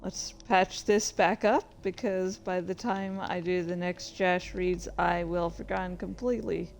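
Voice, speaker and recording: A woman's voice: lowish voice, steady tone, unhurried, creaky, a little mellow, slight background noise. A woman hosting a casual livestream, rambling and narrating her own actions with exclamations as she plays a game. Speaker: livestreamer and host. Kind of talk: casual livestream chat while playing a game.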